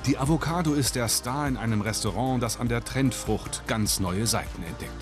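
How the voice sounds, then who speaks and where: A man's voice: mid-range voice, moderate pace, over music. A man narrating a scripted magazine report as a television voice-over.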